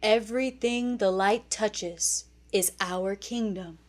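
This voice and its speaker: A woman's voice: mid-range voice, slow, natural voice. Woman voicing a solemn, protective lion king father. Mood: gentle, proud.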